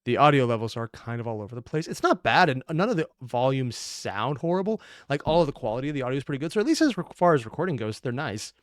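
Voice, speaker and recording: A man hosts a reaction video in a loose, conversational style. His medium-pitched voice moves up and down with exclamations and swearing. The recording is tight and dry. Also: sing-song, fast, few pauses, no echo. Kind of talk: casual reaction commentary.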